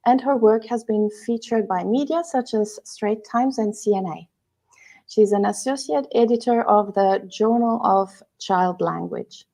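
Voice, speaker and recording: A woman co-hosting a live online talk, reading a guest's introduction and posing questions. Her voice is mid-pitched and her delivery is measured, heard over a video call. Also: vocal fry, warm tone. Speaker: co-host.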